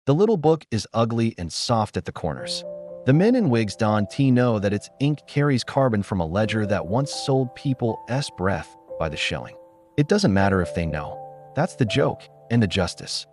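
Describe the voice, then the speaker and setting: A man reading a literary story in a measured, solemn manner, as a scripted narrator. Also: lowish voice, very animated, music in the background.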